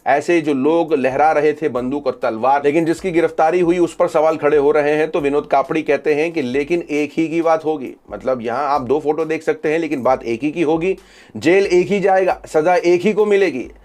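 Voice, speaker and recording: A man's voice: a little high, normal speed, a little mellow. A man hosting social media news commentary, reporting events and reading out reactions.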